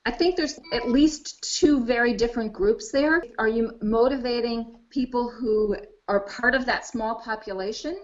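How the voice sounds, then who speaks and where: A woman's voice: fairly high pitch, unhurried, hardly any pauses, muffled recording, slight background noise. A woman linguistics professor giving thoughtful, explanatory answers in an interview over a video call.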